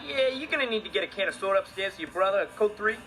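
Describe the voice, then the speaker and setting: A man's voice: high voice, lively intonation, racing, noisy background. A man playing a prankster posing as a mock-official police lieutenant on a sitcom prank phone call. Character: bogus police lieutenant, put on by a lazy teenage prankster.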